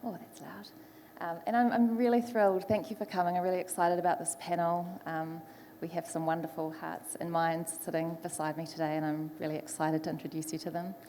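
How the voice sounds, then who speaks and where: A woman's voice: on the low side, little pitch movement, brisk, long reverb tail, slight background noise. New Zealand woman moderating a panel. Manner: warm, many ums, greets in Māori. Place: large conference hall.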